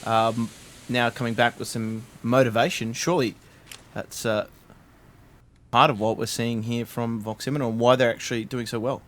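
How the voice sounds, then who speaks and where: A man casting an esports match live, steady play-by-play with frequent fillers. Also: medium pitch, moderate pace, slight background noise. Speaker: esports caster.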